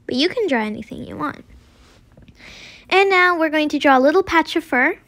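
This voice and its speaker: High-pitched young girl giving drawing instructions. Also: expressive, normal speed, fairly bright tone. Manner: simple, informal instruction with frequent "like". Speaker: host of a drawing tutorial. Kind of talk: casual step-by-step drawing tutorial narration.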